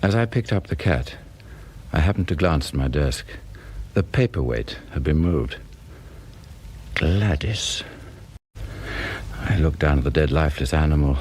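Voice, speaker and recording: A man's voice: rumbling low, lively intonation, a little slow, long pauses, darkish tone, a little hiss or hum. A British man playing an anxious, suspicious professor who narrates a radio drama in a formal, brooding way. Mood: suspicious, uneasy. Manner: formal, brooding, swinging in emphasis. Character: anxious, suspicious professor narrating his own unravelling marriage. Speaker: narrator and lead actor.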